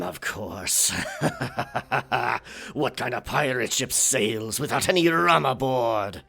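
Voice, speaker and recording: Man acting a gravelly, boisterous pirate captain. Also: medium pitch, a bit bright, heavily acted. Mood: jovial. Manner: theatrical, hesitant muttering mixed with pirate slang. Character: boisterous, rum-swigging male pirate captain, jolly but defensive. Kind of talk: pirate roleplay ASMR audio drama.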